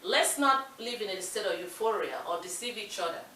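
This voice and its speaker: A woman's voice: mid-range voice, expressive, a little fast, sharp tone. A woman from Nigeria with a Nigerian accent, co-hosting a talk show and making her points in an earnest, emphatic way. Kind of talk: casual discussion. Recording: echoey room with light hiss, mic distant.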